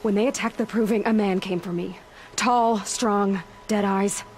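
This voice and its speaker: An actress playing a determined, guarded young huntress in a video game, asking blunt and direct questions in terse phrases. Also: female voice, mid-range voice, steady tone, measured pace, a little mellow, slight background noise, lightly acted. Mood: insistent. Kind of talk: dramatic video game dialogue.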